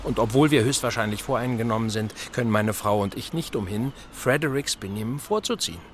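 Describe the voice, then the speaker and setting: An actor playing a genial, blunt older married admiral in a German radio drama, talking in a chatty, emphatic, hesitating way. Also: male voice, mid-range voice, fairly quick, slight background noise, close to an everyday voice. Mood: chatty, opinionated.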